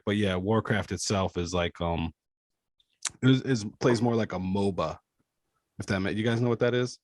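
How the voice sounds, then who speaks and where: A man's voice: on the low side, normal speed. A man co-hosting a podcast, bantering casually and bluntly with friends and swearing freely. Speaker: podcast co-host.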